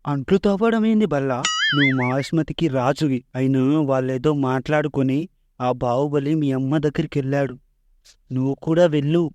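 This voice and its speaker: A man's voice: mid-range voice, a little fast, darkish tone, no echo, muffled recording, close to an everyday voice. Parody cartoon villain: male actor as old royal schemer, suspicious, needling, dry studio sound. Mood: scheming. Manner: sly, accusing, goading. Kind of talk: Telugu parody cartoon dubbing.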